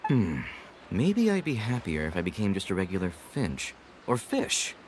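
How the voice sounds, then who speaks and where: A lowish male voice with faint noise behind it. He is a gloomy skeptic who muses and grumbles, with plenty of movement in his intonation. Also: wildly swooping pitch, moderate pace. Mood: pensive. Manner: musing, grumbling. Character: discontented man, skeptical, gloomy, longing for another life. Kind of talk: video game dialogue acting.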